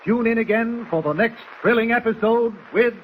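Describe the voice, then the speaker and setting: A man's voice: extremely high pitch, moderate pace, phone-quality sound. A man announcing in a dramatic, declamatory 1940s radio style with emphatic phrasing. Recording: lightly noisy 1940s radio recording.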